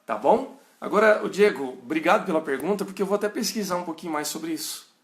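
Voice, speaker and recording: A man's voice: fairly high pitch, lively intonation, fast, reverberant. Man with a caipira Brazilian accent. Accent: rural São Paulo and Minas Gerais Brazilian. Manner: conversational, checks in with listeners often. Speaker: pastor teaching a Bible study. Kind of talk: informal teaching answering viewers' questions.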